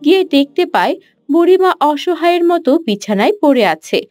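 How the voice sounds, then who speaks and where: A woman's voice: sits high, lively intonation, normal speed, darkish tone, no room sound. A woman reading scripted narration for a children's cartoon story.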